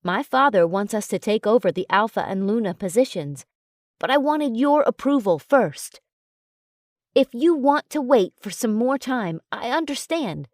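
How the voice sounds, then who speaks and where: A woman voicing a young male werewolf alpha in an audiobook, speaking softly and coaxingly. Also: on the high side, expressive, unhurried, no echo, clean studio sound. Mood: tender. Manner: soft, coaxing delivery.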